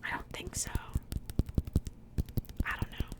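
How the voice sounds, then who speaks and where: A woman speaking in a soft, breathy whisper, chatting casually and unhurriedly in the style of an ASMR unboxing. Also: slow pace. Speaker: ASMR video creator.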